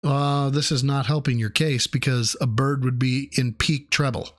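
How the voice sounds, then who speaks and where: A man's voice: mid-range voice, fairly even intonation, normal speed, fairly bright tone. A man doing profane, sarcastic reaction commentary on a clip, mocking the speaker and reading out viewer messages. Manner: sarcastic, swears a lot, mocking.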